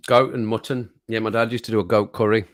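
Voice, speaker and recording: A man hosting a casual livestream, his voice a bit croaky from singing, chatting to viewers at home and laughing often. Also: lowish voice, flat delivery, fast talker. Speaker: livestream host reading chat. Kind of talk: casual chat to a live audience. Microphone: home streaming mic with compressor.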